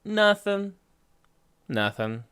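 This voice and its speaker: A man's voice: sits high, very animated, drawn-out words, a bit bright, smooth, no room sound, clean studio sound, heavily acted. A man playing a surly, sarcastic, foul-mouthed grudging informant in an audio drama. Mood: mocking. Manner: sarcastic, irritable, cursing.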